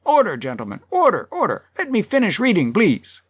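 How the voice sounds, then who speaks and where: A narrator voicing a grave, formal male chairman of a town meeting in an audiobook, speaking in measured declamation. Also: medium pitch, animated, voice pushed up, close to an everyday voice. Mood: exasperated, calling order. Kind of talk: acted audiobook dialogue.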